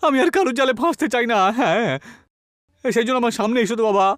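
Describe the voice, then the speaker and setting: A man's voice: very high voice, expressive, quick pace, a bit bright, dry recording, clean studio sound. A man voicing a poor, timid village man in a cartoon, with a plaintive, questioning manner. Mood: wary. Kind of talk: cartoon dialogue. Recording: music behind the voice. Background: music.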